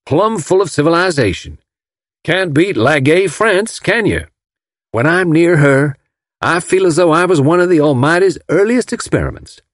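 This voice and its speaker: A man voicing a wealthy, folksy gentleman from the South, talking effusively. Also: fairly high pitch, animated, moderate pace, dry recording, clean studio sound, voice pushed up. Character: wealthy Alabama gentleman, gushing and admiring.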